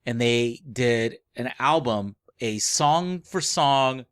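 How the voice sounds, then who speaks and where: A man co-hosting a casual book discussion podcast, speaking haltingly and often circling back to rephrase. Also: medium pitch, expressive, a bit bright, clean tone, no room sound, clean studio sound. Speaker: podcast co-host.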